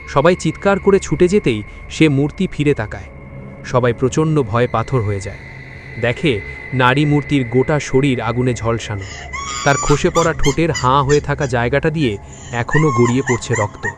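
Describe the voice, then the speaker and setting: A man's voice: mid-range voice, noisy background. A man narrating a scripted horror story in a dramatic storytelling style.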